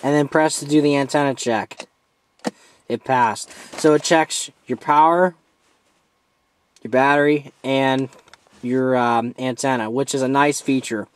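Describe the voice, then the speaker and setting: Male amateur reviewer, medium pitch, informal hands-on demo delivery, somewhat halting as he walks through features. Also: steady tone.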